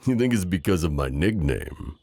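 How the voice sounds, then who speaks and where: Very deep, gravelly knight voiced by a man. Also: expressive, normal speed, warm tone, no room sound, clean studio sound. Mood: wry, amused. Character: loyal, teasing, overprotective male knight hiding a princess. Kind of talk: improvised romantic audio roleplay, addressing the listener.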